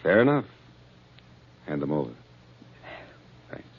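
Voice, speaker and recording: An actor playing a smug, menacing blackmailing chauffeur in an old-time radio crime drama, insinuating and mock-polite. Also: male voice, rumbling low, sing-song, takes it slowly, husky, full character voice. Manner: insinuating, mock-polite, calls his victim honey. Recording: muffled old radio broadcast recording.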